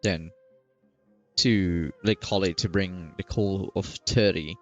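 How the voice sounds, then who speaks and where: A gamer giving casual, rambling, halting reactions during a playthrough. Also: low-pitched, fairly even intonation, lo-fi sound, soft background music. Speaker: gaming video host.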